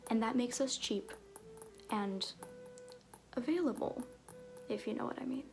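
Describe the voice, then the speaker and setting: A woman playing a flirtatious, roguish circus fool in a soft-spoken ASMR roleplay with whispers, theatrical and sly, with hesitant pauses. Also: on the high side, gravelly, over music. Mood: sly, conspiratorial.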